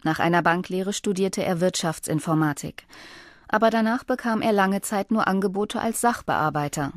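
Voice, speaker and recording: A woman reading scripted documentary narration in a measured, even way. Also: mid-range voice, no room sound, clean studio sound.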